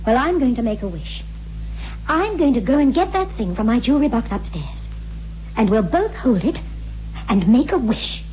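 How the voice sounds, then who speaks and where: An actress playing a troubled, coaxing wife in a 1960s radio horror drama, with a dramatic, foreboding delivery. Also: female voice, fairly high pitch, sing-song, moderate pace, long pauses, a little hiss or hum. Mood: urgent. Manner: dramatic, foreboding narration and pleading dialogue. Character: troubled wife recounting a sinister tale, practical and coaxing. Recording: dull old radio recording, music behind.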